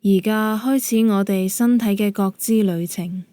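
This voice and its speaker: A woman with a Hong Kong Cantonese accent leading a guided meditation in a calm, gentle, measured manner. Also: medium pitch, dry recording. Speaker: yoga nidra meditation guide.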